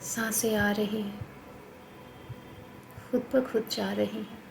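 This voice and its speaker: An Indian woman leading a guided meditation in a calm, soothing, instructional manner with spaced phrases. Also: medium pitch, slow, vocal fry, soft background music, low-quality recording. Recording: noticeable background noise.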